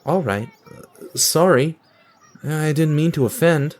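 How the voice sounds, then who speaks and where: A man's voice: fairly high pitch, takes it slowly. A man playing a bland, awkward guy in his twenties in an audio drama, with a hesitant, earnest manner. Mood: awkward, apologetic. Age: 20s.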